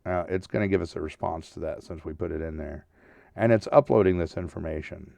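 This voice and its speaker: A man's voice: low-pitched, brisk, gravelly, warm tone, no echo, recorded from a distance. A man hosting a casual screen-share tutorial, talking through each step informally and often checking in with a short tag question. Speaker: tutorial host.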